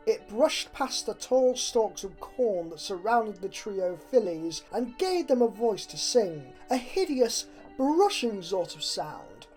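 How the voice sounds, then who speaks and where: A woman's voice: medium pitch, darkish tone. A woman reading a scripted horror story aloud as narrator. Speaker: fan fiction narrator.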